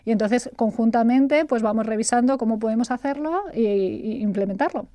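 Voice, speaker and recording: A talkative woman from Spain, middle-aged, speaking in a relaxed interview. Her voice sits a little high but sounds mellow and warm. Laughs come easily, and she repeats words while searching for the next thought, all in a dry, close recording. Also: a little fast, dry recording. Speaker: guest, artificial intelligence consultant.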